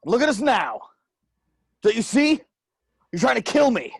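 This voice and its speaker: Menacing crime lord, acted by a man, raspy and dramatic, big pitch swings, close dry sound. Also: big pitch swings, measured pace, no room sound, full character voice. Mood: taunting, menacing. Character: theatrical, menacing male crime boss. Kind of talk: video-call table read of a film script.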